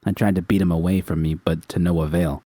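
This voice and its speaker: A man reading a first-person fan fiction story aloud in a calm, steady way. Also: low-pitched, quick pace, no echo, clean studio sound.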